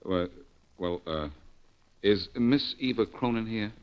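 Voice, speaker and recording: A man's voice: on the low side, slow, smooth. An actor playing a blunt, dogged detective in an old radio drama, insistent and emphatic in his questioning. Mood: puzzled, questioning. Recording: muffled vintage radio recording.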